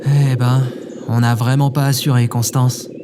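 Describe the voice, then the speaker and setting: Man as an anxious, medium-pitched young guy. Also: little pitch movement, a little slow, very noisy, natural voice. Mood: worried, hesitant. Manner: hesitant. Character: anxious, awkward young man worried about his cat. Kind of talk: scripted audio drama acting.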